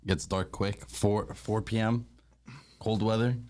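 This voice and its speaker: A man from New York gives blunt, short answers as a podcast guest, in a very low voice. He talks in clipped bursts. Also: fairly even intonation, takes it slowly, a little mellow. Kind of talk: casual podcast chat.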